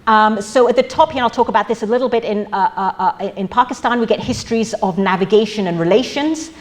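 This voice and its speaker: A middle-aged woman lecturing in a museum auditorium, speaking haltingly with many fillers and restarts. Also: on the high side. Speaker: academic lecturer. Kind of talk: academic lecture.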